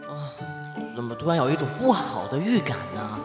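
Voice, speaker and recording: A small, haughty panda cub voiced by a woman grumbles and scolds in an audio drama. The pitch sits low but moves about animatedly, and the voice rings with long reverb. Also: sing-song, a little fast, over loud music. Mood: grumbling. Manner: huffy, scolding.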